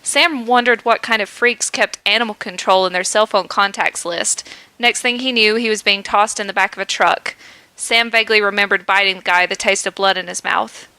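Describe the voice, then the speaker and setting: A woman's voice: medium pitch, moderate pace, sharp tone, clear, resonant tone, no room sound. A young woman co-hosting a podcast, reading fan fiction aloud and giggling often. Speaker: podcast co-host.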